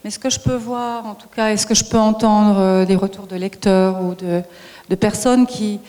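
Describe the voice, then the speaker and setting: A woman author on a panel, answering slowly with many pauses and fillers. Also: mid-range voice, steady tone, warm tone. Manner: hesitant, many fillers, slow and searching. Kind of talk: public talk answer. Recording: reverberant hall.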